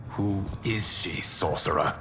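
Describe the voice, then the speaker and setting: An actor playing a solemn, noble guardian leader in a video game, speaking in a grave, measured, formal manner. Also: male voice, deep voice, noisy background. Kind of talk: video game cutscene acting. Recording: dramatic music behind.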